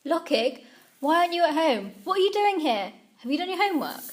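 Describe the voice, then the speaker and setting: Storybook role: actress as a protective royal mother held captive, high-pitched, earnest and courageous. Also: sing-song, fairly quick. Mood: worried, scolding.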